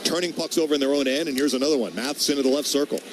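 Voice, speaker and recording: A male radio announcer calls a hockey game live. His voice sits at a medium pitch, with plenty of arena ambience behind him. The delivery is running play-by-play commentary. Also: very fast. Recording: clear arena ambience noise.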